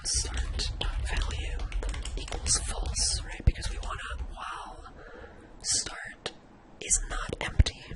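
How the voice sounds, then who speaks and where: Breathy whisper, ASMR tutorial host, informal, hesitant, thinking aloud, step-by-step coding explanation. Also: rough recording.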